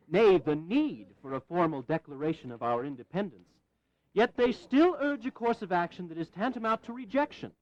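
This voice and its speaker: A dull, slightly lo-fi audio drama recording of a fervent colonial statesman. His delivery is formal and oratorical with a wry edge, and the pitch leaps around freely. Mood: impassioned. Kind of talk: dramatized historical audio acting.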